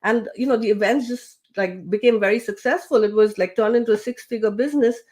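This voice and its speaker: A woman's voice: on the high side, brisk, a little mellow. Chatty woman with restarts. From grew up with British English. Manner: chatty, many fillers and restarts. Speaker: guest, LinkedIn trainer and coach. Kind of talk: casual interview talk.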